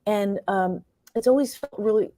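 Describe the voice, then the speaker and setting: An American woman speaking reflectively as an interview guest, thoughtful and halting as she searches for her words. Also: medium pitch, dark tone, dry recording, studio quality. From New York state, United States. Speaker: interview guest, playwright and performer.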